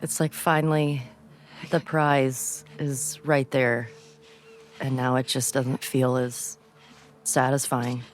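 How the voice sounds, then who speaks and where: Female, very low pitch, slow pace, informal reactions, emotionally involved. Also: little pitch movement, faint music behind. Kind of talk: casual reaction commentary.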